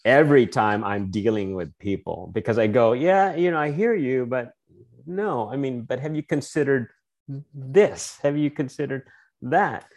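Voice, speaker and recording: A man's voice: mid-range voice, measured pace, darkish tone. A middle-aged man from the Philippines, a guest in a casual interview, reflecting on his youth in a storytelling way with many restarts.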